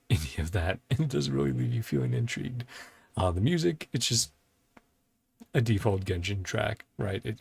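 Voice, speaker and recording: A man's voice: fairly low pitch, vocal fry, breathy edge. A man hosting a reaction series, chatting casually and thinking aloud in loose, informal asides. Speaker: host of a video reaction and ranking series.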